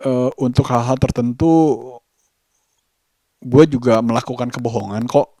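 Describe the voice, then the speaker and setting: A man with a Jakarta Indonesian accent telling a personal story as a vlogger, halting and hesitant, pausing between phrases. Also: medium pitch, mellow, no echo, studio quality. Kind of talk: personal vlog storytelling to camera.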